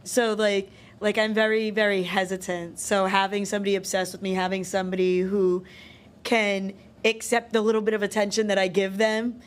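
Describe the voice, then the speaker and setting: A woman's voice: medium pitch, level tone, moderate pace. Young woman in casual podcast conversation. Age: 20s. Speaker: podcast guest.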